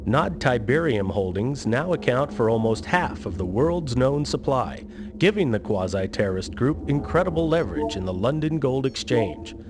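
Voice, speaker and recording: Actor as an animated TV newsreader. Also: male voice, medium pitch, normal speed, lightly acted. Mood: grave, measured. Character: serious TV newsreader reporting on world markets. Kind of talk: broadcast news delivery in game cutscene.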